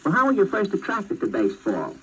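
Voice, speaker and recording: An American man poses questions as a 1940s radio sports interviewer. His voice comes through very husky and unclean. The old disc recording crackles and sizzles throughout. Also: sits high, wildly swooping pitch, a little fast, fairly bright tone.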